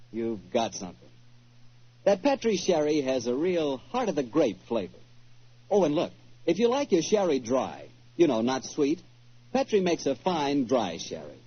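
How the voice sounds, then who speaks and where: An American male announcer with a husky edge delivers a conversational sponsor pitch. His intonation rises and falls freely in classic 1940s radio fashion. The sound is dull and lo-fi. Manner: folksy, conversational sales pitch.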